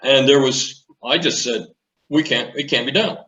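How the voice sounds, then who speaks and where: A man in his eighties reminiscing in an interview, speaking in a measured, deliberate way. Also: medium pitch, sharp tone. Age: 80s. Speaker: interview guest, retired naval commanding officer. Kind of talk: conversational reminiscence. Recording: echoey room sound.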